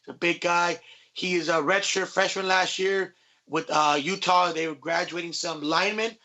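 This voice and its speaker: High, bright-voiced man talking slangy sports. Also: steady tone, moderate pace. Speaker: sports podcast co-host. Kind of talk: casual, slangy sports chat.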